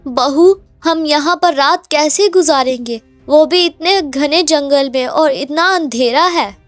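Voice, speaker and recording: A woman's voice: high voice, slow pace, no room sound, faint music behind. A woman voicing an elderly, anxious mother-in-law in a cartoon, plaintive and dramatic. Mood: pleading, anxious.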